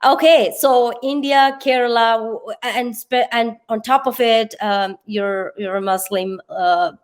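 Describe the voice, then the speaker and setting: Host: woman, higher-toned, unhurried and halting, motivational conversational style. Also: fairly even intonation. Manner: halting, searching for words, repeats herself. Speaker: talk show host.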